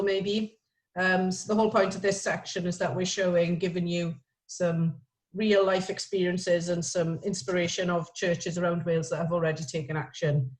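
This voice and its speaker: A woman's voice: lowish voice, low-quality recording. A woman hosting an online meeting over a video call, practical and friendly, with some fillers. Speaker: event host.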